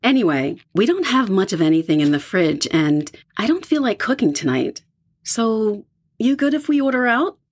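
An actress in her twenties playing an easygoing young roommate in an audio drama, speaking in a relaxed, offhand way. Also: medium pitch, moderate pace, husky. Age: 20s.

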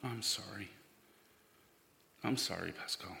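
A man's voice: on the low side, slow, gravelly, heavily acted. An actor playing an earnest, sentimental man in a live audio drama, speaking with open emotion. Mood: apologetic. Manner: emotional, expressive. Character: earnest, sentimental man, attached to his ship friend. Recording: echoey live hall, reverberant.

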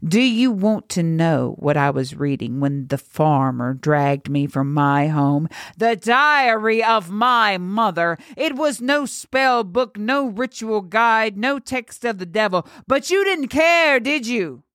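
Lady doing a bitter demon girl from a horror tale, wronged and scornful, slow dramatic delivery, really dry sound. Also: sits low, a little mellow, no echo. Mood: bitter, accusing. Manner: scornful, theatrical. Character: vengeful, anguished young girl turned demonic monster.

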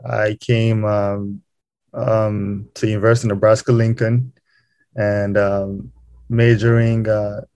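A young man from Rwanda talks easily as a podcast guest, filling his sentences with "um" and "you know". His voice sits a little low, and the recording is dry and close. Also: no room sound. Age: young adult. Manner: relaxed, lots of fillers like "um" and "you know". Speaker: podcast guest, student and game designer. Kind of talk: casual interview talk.

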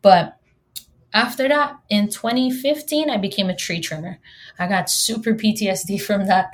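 A woman's voice: medium pitch, normal speed. A woman from Hawaii whose first language is Hawaiian, in her thirties, hosting a solo podcast in a chatty, joking way and talking straight to her listeners. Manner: chatty and humorous, addresses listeners directly. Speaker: podcast host.

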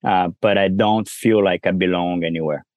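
A man's voice: low-pitched, fairly even intonation, normal speed, a little mellow, no echo, clean studio sound. A man from Brazil with a Brazilian accent tinged with French, talking casually as an interview guest, with many fillers and restarts. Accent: Brazilian with some French. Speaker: guest entrepreneur.

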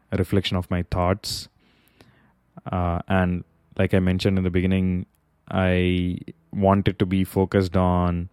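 Slow, low-voiced man with hesitant fillers. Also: warm tone, clear, resonant tone, dry recording, studio quality. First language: not English. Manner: hesitant, many fillers and pauses. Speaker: podcast host. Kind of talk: solo reflective podcast monologue. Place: Bay Area, California.

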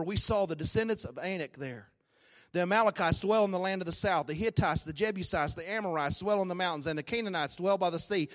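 A man preaching a sermon with a hoarse, raspy voice, swinging between low talk and shouted, sung-out peaks. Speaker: pastor. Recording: long hall reverb.

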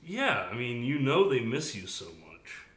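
A man's voice: medium pitch, wildly swooping pitch, measured pace, a bit bright. A man voicing an affectionate mother of a grown daughter in audiobook dialogue. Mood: reassuring. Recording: roomy echo.

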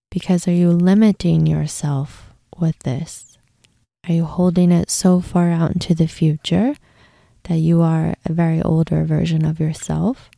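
Female meditation host. Slow, lowish voice, gentle and hushed at times, soothing instructional read. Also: creaky, no echo, clean studio sound.

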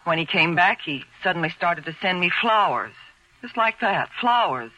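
An actress playing a cool, guarded widowed housewife in a 1940s radio crime drama, speaking with measured precision. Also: female voice, fairly low pitch, lively intonation, bright, forceful tone, dull audio. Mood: tense, earnest. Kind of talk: 1940s radio noir acting. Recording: old radio drama recording.

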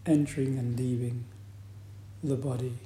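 A man leading a guided meditation as a teacher, speaking in a calm, deliberate manner with long pauses. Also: medium pitch. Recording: roomy echo, faint background noise.

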